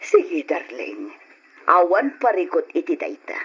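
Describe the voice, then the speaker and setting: A man's voice: a little high, wildly swooping pitch, a little slow, a bit bright, slightly rough, heavily acted. A man playing an agreeable, doting partner in an old Ilocano radio drama, on a dull, faintly noisy recording.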